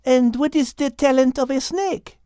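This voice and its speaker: Woman as a high, genteel French cat. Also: normal speed, dark tone, smooth, no room sound, studio quality, lightly acted. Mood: gracious. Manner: polite, genteel, precise. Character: genteel, polite female French cat, sweetly proper storybook host.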